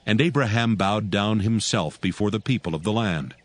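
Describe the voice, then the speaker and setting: A man narrating scripture from a script in a measured, formal manner. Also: on the low side.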